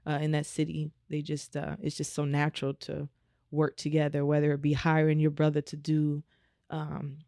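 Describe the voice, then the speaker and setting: A woman from Omaha with a naturally raspy voice, talking casually as a guest in a podcast interview with a laid-back flow. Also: deep voice, completely flat delivery, normal speed, mellow, dry recording, studio quality. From Omaha, United States. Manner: laid-back, frequent "you know" and laughs. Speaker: guest musician.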